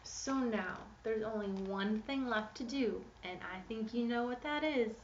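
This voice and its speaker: Female teacher for an interactive picture-book read-aloud, expressive storyteller, echoey and slightly muffled room sound. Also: a little high, unhurried, recorded from a distance, slight background noise. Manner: expressive storytelling, posing questions to young listeners.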